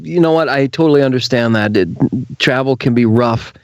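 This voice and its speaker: A man's voice: medium pitch, fairly quick, darkish tone, smooth, dry recording, lo-fi sound. A man hosting a radio show in a conversational interview style.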